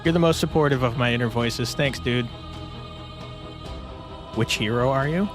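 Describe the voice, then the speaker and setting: A man's voice: medium pitch, normal speed, music in the background. A man streaming a game with casual commentary, reading choices aloud and chatting chummily back to the characters. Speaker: game streamer, let's player.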